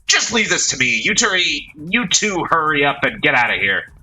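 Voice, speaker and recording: A man voicing a brash male reporter who taunts and talks back quickly. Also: a little high, big pitch swings, sharp tone, voice pushed up, exaggerated voice, low-quality recording. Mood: defiant. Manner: taunting, fast-talking.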